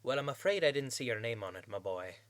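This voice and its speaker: A man voicing a shrewd, condescending male pony merchant, high and flighty, in an audiobook-style story. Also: expressive, fast, fairly bright tone, no room sound, natural voice. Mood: sly, condescending. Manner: condescending, wheedling sales patter.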